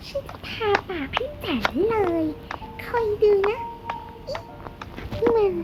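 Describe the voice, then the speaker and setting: A young-sounding performer playing a vain, jealous schoolgirl in an amateur animated game skit, exclaiming dramatically. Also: very high voice, takes it slowly, muffled recording, full character voice. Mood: vain, determined. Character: vain, jealous schoolgirl scheming against a rival classmate. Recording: loud music over voice. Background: loud music.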